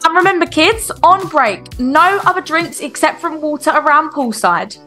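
Swim coach played by a woman: very high bright voice, firm but encouraging instructor, game roleplay. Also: animated, moderate pace, faint music behind. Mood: instructing. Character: firm, encouraging female children's swimming coach. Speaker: video creator playing a swim coach.

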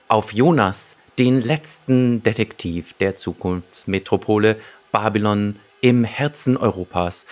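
A man co-hosting a podcast in a conversational, partly prepared style, speaking close to a desktop microphone at home. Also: fairly low pitch. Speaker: podcast co-host. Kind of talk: conversational podcast talk with prepared plot summaries. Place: small home room with shelves.

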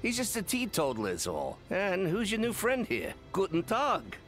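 A man's voice: high voice, wildly swooping pitch, normal speed, fairly bright tone, full character voice. A man playing a genial, teasing old fisherman with a folksy manner in a video game. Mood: friendly teasing. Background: game music.

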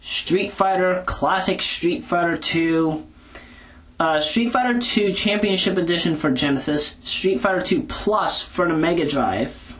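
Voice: mid-range voice, unhurried, fairly bright tone. A speaker giving a casual, rambling audio log in an informal, hesitant, self-correcting way, talking into a handheld recorder. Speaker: video blogger. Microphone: handheld audio recorder moved back and forth.

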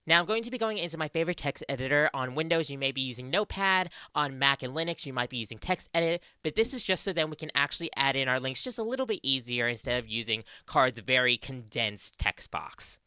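A woman's voice: extremely low pitch, lively intonation, quick pace, hardly any pauses, sharp tone, clean tone, no room sound. A young adult woman with an Australian accent presenting a scripted tutorial into a close studio mic. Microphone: close studio mic on boom arm.

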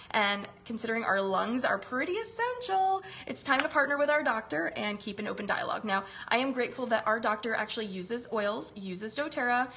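A woman's voice: on the high side, bright, forceful tone. A woman in her thirties chatting on a live stream from her home kitchen, recovering from a chest virus and greeting viewers by name. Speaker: wellness live-stream host. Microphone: phone camera close up.

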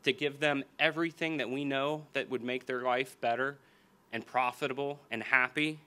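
An American man in his forties delivers a sermon from a church lectern into a small stand mic. His voice is bright and forceful at a middle pitch. He reads scripture earnestly, slows down to lean on key phrases, and repeats them. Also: fairly even intonation, clean tone. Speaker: pastor. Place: church hall stage at a lectern.

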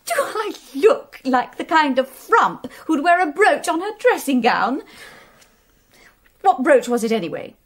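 Haughty high-voiced British society girl. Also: sing-song, measured pace. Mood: indignant, scornful. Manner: snobbish, brusque. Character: haughty, sharp-tongued young society woman. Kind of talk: radio play acting.

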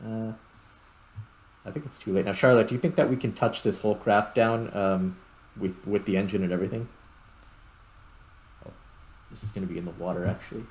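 A man's voice: fairly low pitch, steady tone, a little fast, pauses often, a little mellow, poor audio. A man giving casual gameplay commentary, rambling and thinking aloud as he hosts. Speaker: gaming video host.

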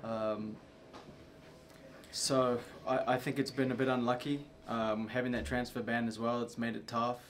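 A young man, a soccer player, answering questions at a post-match press conference in a subdued, halting way with many fillers. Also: mid-range voice, little pitch movement, slight background noise. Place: press room before a sponsor backdrop.

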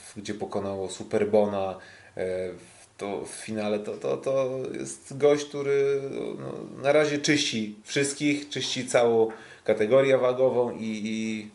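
A man hosting a casual sports podcast, talking in a hesitant, halting way. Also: medium pitch, flat delivery, darkish tone. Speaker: podcast host. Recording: roomy, echoing sound.

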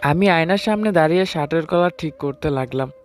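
A man's voice: on the high side, fast talker. A man tells a romantic story in the first person, speaking as an affectionate, teasing husband. His voice sits over a bed of background music. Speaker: narrator and storyteller. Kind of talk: dramatized first-person love story narration. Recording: music under the voice.

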